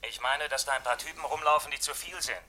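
Touchy vengeful convict on a taped call, fast hard piercing voice, radio crime play. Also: male voice, on the high side, steady tone, sharp tone. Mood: menacing. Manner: blunt, demanding, threatening. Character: vengeful escaped male convict, touchy and menacing. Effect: heard on a taped phone call. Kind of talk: German radio crime drama acting.

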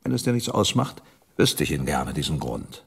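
An actor playing a suave, powerful company boss with a husky voice and a polished, patronizing manner in a radio drama. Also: male voice, a bass voice, very animated, moderate pace, full character voice. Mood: smoothly reproachful. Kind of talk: crime radio drama dialogue.

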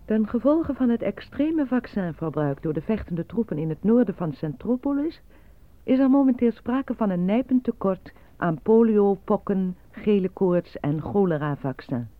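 An actress playing a formal, impassive newsreader, giving measured scripted bulletins within a radio drama. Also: female voice, mid-range voice, animated, dry recording, close to an everyday voice. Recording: dry, tinny narrow-band radio sound.

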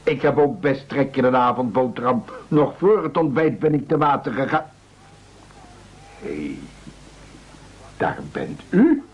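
Unflappable postman, husky mid-range voice, lively and polite, muffled radio drama sound. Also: medium pitch, unhurried, pauses often, exaggerated voice. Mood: cheerful, matter-of-fact. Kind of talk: radio play acting. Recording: dull, muffled radio play recording.

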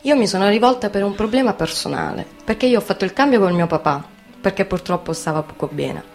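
A woman's voice: mid-range voice, quick pace, a little mellow, soft background music, lightly acted. A woman voicing a frank, combative young steelworker, giving testimony in a colloquial, insistent way. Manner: plain-spoken, colloquial, insistent. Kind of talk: conversational testimony monologue in radio theatre.

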